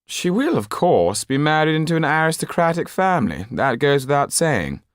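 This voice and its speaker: A man's voice: fairly high pitch, sing-song, normal speed, dry recording, studio quality, voice pushed up. A man voicing a callous, pompous French marquis in an audiobook, speaking with drawling disdain and dismissiveness. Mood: cold disdain.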